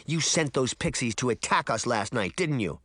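A man's voice: medium pitch, expressive, moderate pace, fairly bright tone, no echo, clean studio sound. A man voicing an innocent, outspoken little girl with a naive, questioning manner in an audiobook. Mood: cross. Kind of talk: audiobook character voice.

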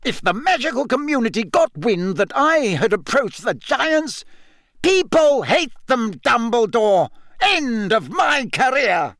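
A short, self-important minister of a wizard world blusters in a high, shrill register. His pitch swings wildly with indignation and panic. The sound is dry and close, as in a studio storybook recording. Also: very animated, takes it slowly, hardly any pauses, pushed and bright, no echo, exaggerated voice. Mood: aghast, flustered. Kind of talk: audiobook character voice.

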